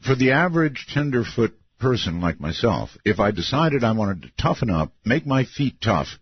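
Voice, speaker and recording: An older man with a deep voice hosting a late-night radio interview, asking long, meandering questions and laughing often. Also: very animated, normal speed, slightly rough. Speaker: radio talk show host. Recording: muffled lo-fi radio archive.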